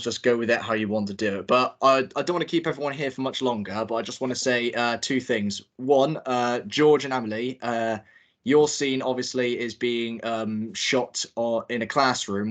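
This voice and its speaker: A young man directing a group table read on a video call, talking in a rambling way with many fillers and restarts. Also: medium pitch. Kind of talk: casual chat.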